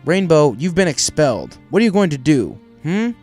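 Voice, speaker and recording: Storybook dad pegasus played by a man: firm, forceful delivery, slightly raised voice, music underneath. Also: on the high side, very animated, normal speed, pitched above the performer's own voice. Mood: stern. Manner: stern, firm, reprimanding. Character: stern pegasus father, exasperated with his teenage daughter.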